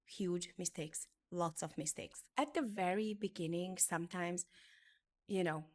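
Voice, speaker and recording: Hungarian-born woman, native Hungarian speaker, motivational beauty educator, earnest, slightly low voice. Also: a little slow. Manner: earnest, emphatic, repeats phrases for effect. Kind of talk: motivational talk to camera.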